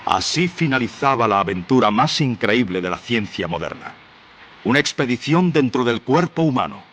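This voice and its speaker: A man's voice: mid-range voice, sing-song, moderate pace, a bit bright. A man giving scripted dramatic narration for a radio play. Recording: noisy old radio drama recording.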